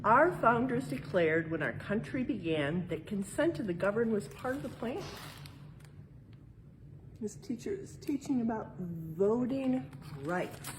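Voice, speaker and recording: A woman's voice: fairly high pitch, animated, unhurried. Woman reading aloud over noticeable room noise. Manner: explanatory, checks in with tag questions. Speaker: museum educator reading a picture book aloud. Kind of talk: read-aloud storytime with casual explanatory asides. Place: indoors in a museum exhibit.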